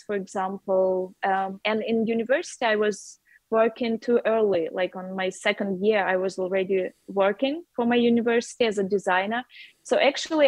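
A woman in her thirties with a Russian accent chats casually on a video call. She talks through earbuds with plenty of fillers and light laughter. Her voice sits at a middle pitch, and the sound is close and dry. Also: no echo, poor audio. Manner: chatty, laughs often, many fillers. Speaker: artist guest. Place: bright room with a wooden ceiling. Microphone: wireless earbuds on a video call.

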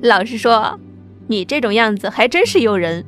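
A woman's voice: a little high, sing-song, moderate pace, soft background music. A woman voicing a bold young woman who teases playfully in an audiobook. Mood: teasing.

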